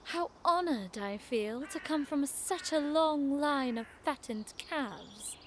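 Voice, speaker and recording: An actress plays a prim young noblewoman with a sharp tongue in an audio drama. Her voice sits high, formal and arch with a sarcastic edge, over faint background noise. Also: expressive, slow, full character voice. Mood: arch, teasing.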